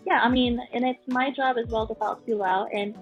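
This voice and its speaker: A woman's voice: on the high side, steady tone, brisk. A young woman working as a customer service booking agent on a phone call, speaking in a patient, polite and reassuring way. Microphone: telephone. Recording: muffled phone call recording, music behind.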